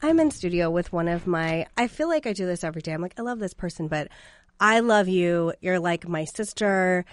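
A woman's voice: lowish voice, animated, brisk, no echo, clean studio sound. Chatty woman host fighting a cough. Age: 30s. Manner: chatty, laughs often, warm and gushing toward her friend. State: sick with a lingering cough. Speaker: podcast host. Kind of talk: casual chat. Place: studio. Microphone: studio mic.

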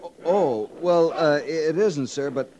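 An actor playing an earnest young cavalry lieutenant in a radio drama, with a polite, gentle, formal manner. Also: male voice, on the high side, measured pace, dull audio, natural voice. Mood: flustered, deferential. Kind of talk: 1950s radio drama acting. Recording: hissy vintage radio recording.

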